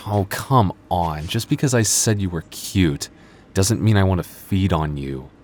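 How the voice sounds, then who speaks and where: A man's voice: deep voice, unhurried, darkish tone, slight background noise. A man voicing a young male vampire store coworker in an ASMR roleplay, flustered and teasing, with hesitant, sardonic banter. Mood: flustered, exasperated. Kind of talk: ASMR roleplay audio drama.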